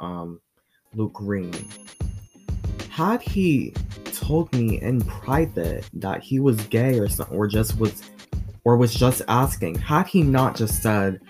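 A teenage boy with a deep voice, hosting his own vlog in a chatty, blunt rant that swears freely. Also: expressive, a little slow, music in the background. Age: teens. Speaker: vlog host. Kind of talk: casual ranting monologue.

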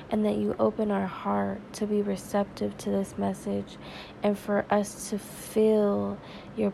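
Woman podcast preacher, stuffy and raspy from crying; gravelly, slow, earnest delivery. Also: mid-range voice, dark tone, a little hiss or hum, rough recording. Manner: earnest, conversational, slow and prayerful. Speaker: devotional podcast host. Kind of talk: informal devotional talk and prayer.

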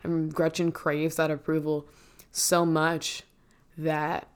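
Low-voiced chatty woman. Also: little pitch movement, slow, smooth. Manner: chatty, many "like" fillers and self-corrections. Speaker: musical theater fan recapping a show. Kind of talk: casual spoken recap.

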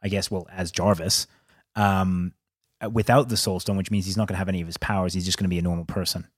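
A man's voice: deep voice, steady tone, fast, dry recording, clean studio sound. A man in a casual film discussion as a podcast host, talking hesitantly and often searching for his words.

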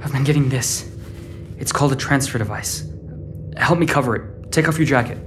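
A stubborn teenage boy with secrets, played by a young man in an audio drama. His voice sits at a medium pitch, over prominent music. He comes across as someone on the run. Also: brisk, natural voice. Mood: evasive, reassuring.

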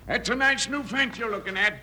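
An actor playing a crooked, vengeful little Western squire with blustering, folksy threats, in an old radio western. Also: male voice, very high-pitched, fairly quick, bright, forceful tone, exaggerated voice. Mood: indignant, defiant. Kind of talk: 1950s radio western acting. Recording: noisy old-time radio recording.

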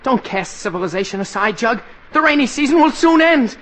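A man's voice: extremely high pitch, lively intonation, a little fast, a bit bright, exaggerated voice. An actor playing an earnest, melodramatic teenage boy in a 1940s radio comedy. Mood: mock-heroic. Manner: mock-heroic, overdramatic. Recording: old 1940s radio broadcast.

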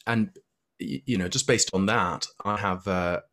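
A man from Argentina, a guest in an interview, answering in a measured, reflective way with frequent hesitations. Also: lowish voice, fairly bright tone.

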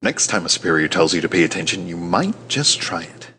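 A man's voice: sits low, big pitch swings, brisk, sharp tone, slight background noise, full character voice. A man playing a composed, sardonic military colonel in an anime fan dub, dry and authoritative. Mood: dry, scolding.

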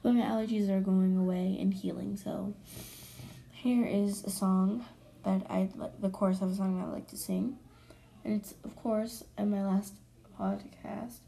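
A young woman hosting her own podcast, chatting casually and rambling with self-corrections, her voice hoarse from allergies. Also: medium pitch, fairly even intonation, a little slow, warm tone, a little hiss or hum. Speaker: podcast host. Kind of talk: casual solo podcast chat.

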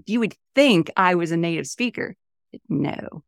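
A woman's voice: lowish voice, expressive, unhurried, vocal fry, dry recording, studio quality. A woman in her fifties from the St. Louis area, an author chatting enthusiastically as a podcast guest. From St. Louis area, USA. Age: 50s. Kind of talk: casual interview talk.

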